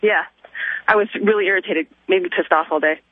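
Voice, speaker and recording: A man's voice: sits high, fairly quick, no room sound. A man calling a radio show over a tinny phone line, casually venting with anecdotes. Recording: tinny, narrow telephone line.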